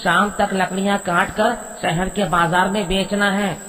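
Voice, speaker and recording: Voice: medium pitch, level tone, measured pace, a bit bright, close to an everyday voice. अभिनीत गूंजती आवाज़ वाला जोशीला गाँव का युवक. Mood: impatient. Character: village man, woodcutter or bold youth, brisk, earnest. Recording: long reverb, insect sounds behind.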